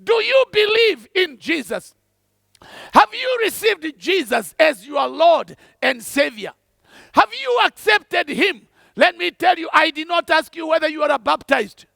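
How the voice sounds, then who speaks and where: High, gravelly shouting preacher man. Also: sing-song, a little slow, no room sound, clean studio sound. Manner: fervent, repetitive, preaching. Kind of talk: shouted evangelistic sermon.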